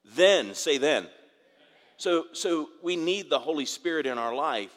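A male pastor preaching a sermon in a church, his voice raspy from dry air, often repeating words and asking for amens. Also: fairly high pitch, animated, fairly bright tone. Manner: halting, repeats words, asks congregation for amens. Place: church sanctuary. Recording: long reverb of a large hall.

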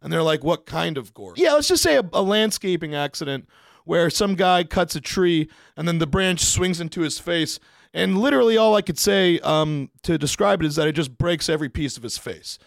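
Male storyteller, lighter pitch, dry sound. Loose, sweary, conversational delivery, performs both sides of the dialogue he recounts. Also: animated, moderate pace, creaky, no room sound. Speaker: podcast studio staff member telling work anecdotes. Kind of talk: casual storytelling to camera.

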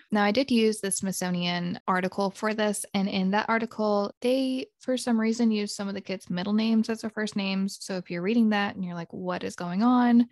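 A woman's voice: mid-range voice, no echo, studio quality. A woman who sounds congested, co-hosting a podcast in a chatty, giggly, rambling way. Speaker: podcast co-host. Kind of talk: casual chat.

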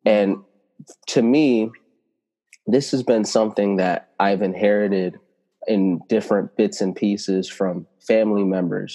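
A man whose first language is Italian, telling personal spiritual stories in an earnest, reflective way, slowing down to stress key words. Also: sits low, dark tone, in a large hall. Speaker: spiritual teacher and healer.

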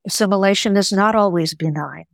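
A woman in her sixties, a Greek native speaker, talking as an interview guest in a measured, reflective way, often restarting her words. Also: mid-range voice, gravelly, no room sound, clean studio sound.

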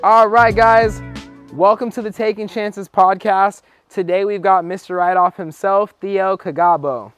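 Young male podcast host, easygoing and friendly, voice a touch high. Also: unhurried, clear, resonant tone, faint music behind. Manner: relaxed, friendly, asks easy questions. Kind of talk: casual interview chat.

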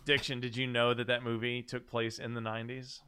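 A man in his thirties hosting a casual comedy podcast, telling things in an animated, profane way with mocking quotes. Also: mid-range voice, a little fast, clear, resonant tone. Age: 30s. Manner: animated, profane, mock-quoting storytelling. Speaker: podcast host.

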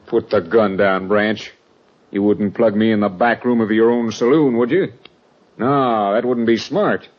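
A man's voice: fairly low pitch, measured pace, dull audio, natural voice. An actor playing a nervous male outlaw henchman in a 1940s radio western, jittery and pleading. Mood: wary. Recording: hissy old radio transcription.

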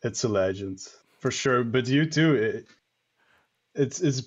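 A man from Belgium calls into a livestream, speaking as an at a medium pitch. His phrasing halts a little as he chats, and the call audio sounds dull and lo-fi. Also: animated, slow pace, husky. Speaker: guest caller on a livestream. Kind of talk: casual chat. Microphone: online call.

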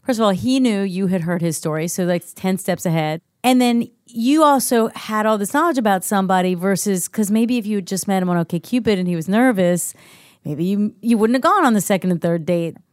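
A woman's voice: medium pitch, a little fast, no room sound, studio quality. A woman hosting a podcast, talking in a conversational way to guests and listeners. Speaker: podcast host. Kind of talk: conversational interview and show sign-off.

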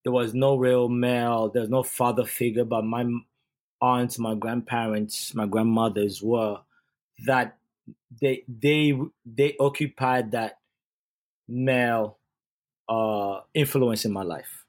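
A man's voice: medium pitch, steady tone, takes it slowly. A man from Uganda, a youth sports coach, giving an earnest casual podcast monologue and often repeating and restarting his phrases.

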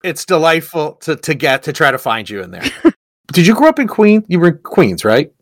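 A man's voice: fairly high pitch, very animated, normal speed, dry recording, studio quality. A man hosting an interview, asking curious, enthusiastic questions. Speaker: host. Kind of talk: casual interview.

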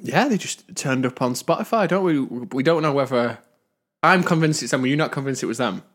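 A man's voice: mid-range voice, lively intonation, fairly quick, a bit bright. A man co-hosting a podcast in casual chat, sniffly with a cold, rambling and laughing. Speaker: podcast co-host.

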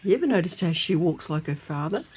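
An actress playing a stubborn, gullible older grandmother in a comic audio drama, husky-voiced and full of indignant questions. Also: lowish voice, big pitch swings, fast, a little mellow, a little hiss or hum. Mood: concerned.

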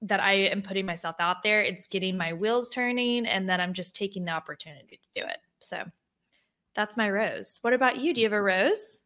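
Podcast co-host, female, mid-pitched, upbeat, laughs often. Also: brisk, a bit bright. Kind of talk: casual chat.

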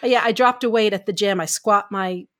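A woman's voice: medium pitch, normal speed, a bit bright. A woman from Canada co-hosting a podcast, talking in a casual, chatty way and laughing easily. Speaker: podcast co-host, story editor.

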